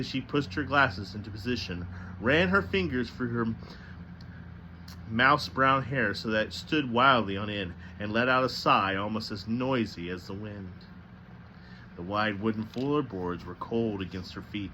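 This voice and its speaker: A man reading a novel aloud as narrator, with a slightly halting delivery that stumbles now and then. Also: mid-range voice, bright, forceful tone, a little hiss or hum, poor audio. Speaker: storybook reader.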